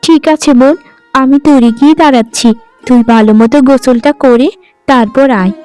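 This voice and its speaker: A woman plays a kind, long-suffering young sister in a cartoon folk tale. Her high voice is gentle, earnest and submissive, with music behind it. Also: moderate pace, clean tone, natural voice. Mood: obedient. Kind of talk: animated folk-tale dialogue.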